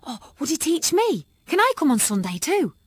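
A woman voicing a timid young schoolgirl with a high, earnest, pleading voice. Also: wildly swooping pitch, moderate pace, no echo.

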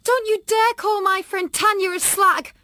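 A woman voicing a timid young schoolgirl with a high, earnest, pleading voice. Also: sing-song, unhurried, a bit bright, no echo, clean studio sound, full character voice. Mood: fierce.

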